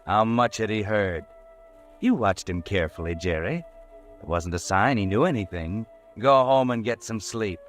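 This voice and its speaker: A man's voice: on the low side, animated, moderate pace. A man narrating a 1940s radio mystery in a brooding, second-person manner. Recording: old radio broadcast with music behind.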